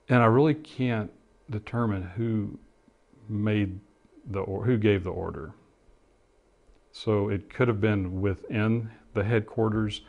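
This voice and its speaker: Middle-aged male interviewee, fairly low voice, thoughtful and halting as he recounts and explains. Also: darkish tone, lo-fi sound. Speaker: interviewee, former air traffic controller and pilot. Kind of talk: interview testimony, recollection.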